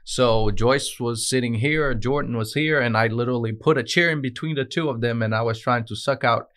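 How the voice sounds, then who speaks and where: A man in his thirties from Brazil with a Brazilian Portuguese accent, a guest telling his story in a studio interview with many "uh" pauses. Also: mid-range voice, a bit bright. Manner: earnest, with frequent "uh" and "um" pauses. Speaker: guest, dispatch manager. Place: podcast studio with branded backdrop. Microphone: desk podcast mic on a stand.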